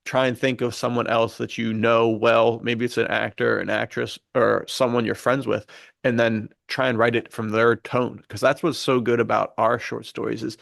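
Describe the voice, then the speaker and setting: A man's voice: mid-range voice, little pitch movement, moderate pace, vocal fry, fairly bright tone, no echo, clean studio sound. A man co-hosting a podcast in casual chat, speaking in an earnest, informal, enthusiastic way. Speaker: podcast co-host and fiction writer.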